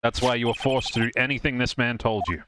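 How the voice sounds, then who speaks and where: A man's voice: mid-range voice, steady tone, fast talker, heavy background noise, lightly acted. A man playing an earnest young defense attorney in a comedic game dub, casual, jokey and probing. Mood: accusing. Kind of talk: comedic live fan dub of a video game. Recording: game music behind the voice.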